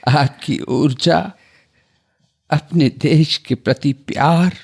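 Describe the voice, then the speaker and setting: Very slow, husky, gracious elder statesman. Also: a little high, heavily acted. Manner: slow, deliberate, kindly. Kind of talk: radio drama acting.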